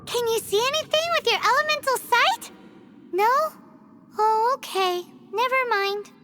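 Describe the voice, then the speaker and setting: A woman playing a tiny childlike female fairy companion in an anime-style game, squeaky, anxious and chatty. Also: animated, a little slow. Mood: curious. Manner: squeaky, refers to self by name. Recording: loud music under the voice.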